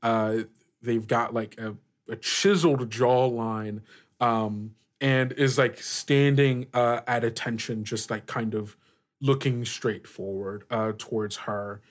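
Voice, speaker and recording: Guy running a tabletop game, medium pitch, describes stuff in halting bits, says like a lot. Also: fairly even intonation. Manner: halting, searching descriptions with frequent "like". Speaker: game master. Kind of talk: improvised tabletop game narration.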